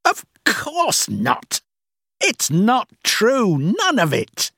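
A man's voice: high voice, sing-song, very slow, creaky, a bit bright, breathy edge, no room sound, clean studio sound, pitched above the performer's own voice, heavily acted. A man voicing an angry, arrogant, dismissive businessman fortune teller in an audiobook, blustering and impatient. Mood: scornful, gloating. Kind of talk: audiobook character acting.

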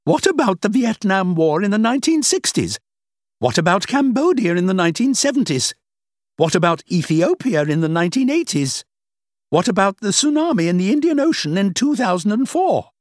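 A man voicing a sharp, skeptical older woman who lectures and scoffs, in an audiobook reading. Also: high voice, very animated, normal speed, dry recording, clean studio sound, voice pushed up. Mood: scornful. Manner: scornful, lecturing, emphatic.

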